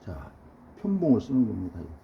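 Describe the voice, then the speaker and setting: A hoarse, middle-aged man with a cold gives a measured ink-painting lesson while he paints. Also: lowish voice, huge pitch swings. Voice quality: gravelly. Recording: dull lo-fi sound with faint noise.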